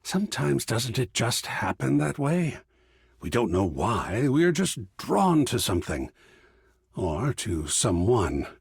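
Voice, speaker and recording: A man's voice: mid-range voice, breathy edge, dry recording, clean studio sound, heavily acted. An actor voicing an effusive, grandiloquent art connoisseur in a video game, savoring his words with dramatic flair. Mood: pensive, moody. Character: effusive, theatrical art connoisseur, a living piece of artwork. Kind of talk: theatrical game character dialogue.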